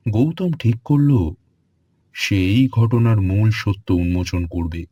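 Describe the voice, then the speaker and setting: A man narrating a scripted horror story in a measured, dramatic way. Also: on the low side, warm tone, dry recording.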